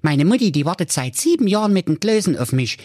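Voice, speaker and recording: A man voicing a naive, cheerful simpleton, speaking high and eagerly. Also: big pitch swings, quick pace, mellow, dry recording, clean studio sound. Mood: friendly. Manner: high, eager and good-natured.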